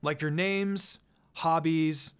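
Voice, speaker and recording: A reader voicing a lazy, aloof male ninja teacher in a fan story reading, delivering his lines in a flat, offhand way. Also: medium pitch, slow pace, smooth, natural voice.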